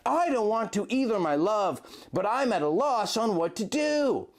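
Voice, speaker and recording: A man's voice: high-pitched, big pitch swings, moderate pace, pitched above the performer's own voice. A man voicing an earnest captive fantasy hero, strained and anguished, in a story reading. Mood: despairing. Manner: strained, faltering, indignant.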